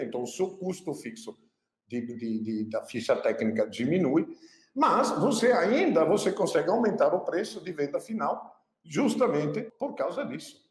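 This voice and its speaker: A man with a Pernambuco accent, a chef talking casually to camera about selling dishes, stressing points by repeating phrases. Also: fairly high pitch. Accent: Pernambuco Brazilian Portuguese. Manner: expressive, repeats phrases for emphasis. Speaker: chef giving cooking business advice. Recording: echoey room.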